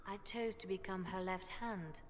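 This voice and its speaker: A woman playing a ruthless, resolute spymaster in a video game, speaking in a measured, commanding way. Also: mid-range voice, lightly acted. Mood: grim, resolved. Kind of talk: video game dramatic acting. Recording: long reverb, clear background noise.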